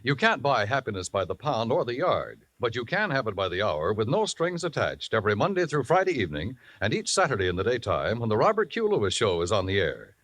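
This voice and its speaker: A man's voice: mid-range voice, big pitch swings, brisk, few pauses, fairly bright tone, dry recording. A male announcer reading a 1950s radio break and an ad. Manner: smooth announcer delivery.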